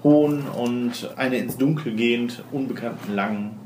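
A man's voice: medium pitch, normal speed. A man running a tabletop role-playing game, narrating off the cuff and chatting with his players. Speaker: game master. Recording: roomy, echoey room with clear background noise.

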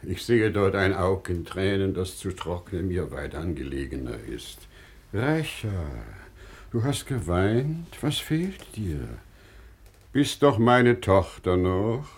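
Deep, measured, wise old father. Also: expressive, breathy edge, exaggerated voice. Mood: tender, soothing. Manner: measured, deliberate, declamatory stage delivery. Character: wise, patient older merchant father, calm and forgiving. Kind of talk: classical German radio play acting.

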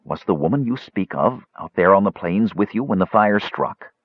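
A man playing an anxious, earnest half-elf adventurer in a fantasy audiobook, speaking in a hurried, eager way. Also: sits low, animated, husky, no room sound, lo-fi sound. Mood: alarmed, hurried.

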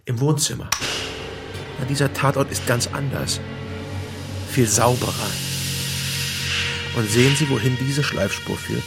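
An actor playing a matter-of-fact, probing police detective in naturalistic audio drama dialogue. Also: male voice, medium pitch, a little slow, gravelly, pauses often, loud music behind the voice.

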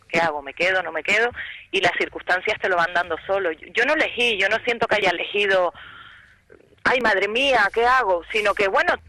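A woman's voice: mid-range voice, sharp tone, dry recording, low-quality recording. A woman with a Canarian accent, an actress and journalist speaking in a casual panel discussion, thoughtful and lifting her voice when acting out imagined questions. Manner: reflective, rising emphasis when voicing imagined questions.

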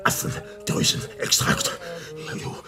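An actor in a German radio play playing a gruff, vindictive intelligence officer who barks orders and speaks in halting bursts. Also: male voice, over music, lightly acted. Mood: drunken, gloating. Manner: barking orders, halting hesitations. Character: gruff, aggressive male intelligence officer at an embassy, obsessed and vindictive.

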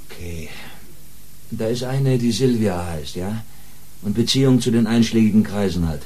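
An actor playing a streetwise, defiant small-time police informant in a radio play, talking in a flippant, slangy way. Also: male voice, lowish voice, moderate pace, warm tone, lightly acted. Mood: sly, confiding.

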